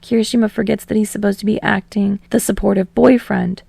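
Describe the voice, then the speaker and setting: Creaky-voiced woman narrating fiction. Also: medium pitch, steady tone, fairly quick, warm tone, dry recording. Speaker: audiobook narrator.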